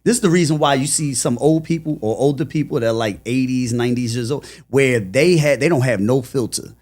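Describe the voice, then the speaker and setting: A man, talking casually as a podcast guest in an animated, emphatic, slangy way. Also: mid-range voice, fairly quick. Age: 40s. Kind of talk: casual conversational interview.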